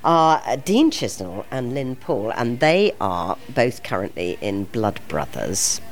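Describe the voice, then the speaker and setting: Podcast host, woman, middle-aged, low voice with swooping intonation, enthusiastic. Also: big pitch swings. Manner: enthusiastic, chatty, quick questions. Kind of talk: casual backstage interview.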